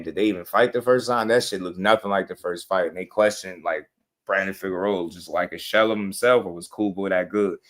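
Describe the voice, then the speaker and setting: A man hosting a casual boxing talk show, animated and slangy, breaking into laughs as he riffs on fights. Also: deep voice. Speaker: boxing podcast host.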